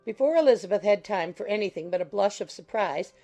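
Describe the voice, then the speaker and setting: Creaky-voiced woman narrating a novel. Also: mid-range voice, lively intonation, fast, warm tone. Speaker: audiobook narrator. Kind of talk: scripted literary narration. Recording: faint music behind the voice.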